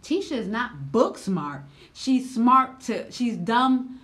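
A loud, expressive woman in her thirties talks straight to the camera in casual, slangy reaction commentary. Her voice swings from low and level to high and sharp when she gets worked up. She is seated indoors, close to the camera. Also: takes it slowly. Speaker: reaction vlogger.

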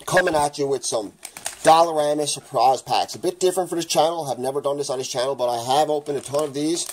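A man with a raspy voice from the flu, chatting casually as he opens hockey card packs in his home kitchen. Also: a little high, quick pace, slight background noise. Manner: chatty, rambling, excited at good pulls. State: raspy, getting over the flu. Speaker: hockey card channel host. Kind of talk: casual card-opening vlog.

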